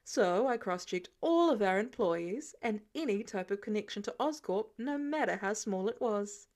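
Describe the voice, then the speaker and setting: A woman's voice: medium pitch, animated, moderate pace, darkish tone, lightly acted. A woman voicing a sardonic older male inventor who teases his young companion in an audiobook story. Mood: explaining, wry. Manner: dry, exasperated, teasing.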